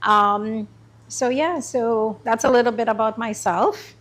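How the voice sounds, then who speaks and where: A middle-aged woman from Trinidad and Tobago giving a chatty, informal talk about her business to a small group, with many pauses and ums. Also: on the high side. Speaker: small business owner presenting. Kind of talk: informal pitch presentation. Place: meeting room.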